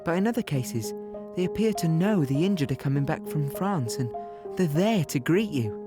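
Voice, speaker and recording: An actor playing a young wounded British infantryman, plainly and haltingly telling his story in an audio play. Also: a little high, animated, over music, close to an everyday voice. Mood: reflective. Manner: conversational, halting, present-tense storytelling. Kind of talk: first-person dramatic monologue in audio play.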